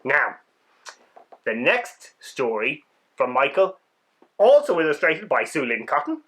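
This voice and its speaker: A man reading stories aloud for children in an expressive, dramatic way, leaning on key words. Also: on the high side. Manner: animated, dramatic delivery with emphasized words. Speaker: children's storybook reader and host. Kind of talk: expressive read-aloud storytelling for kids.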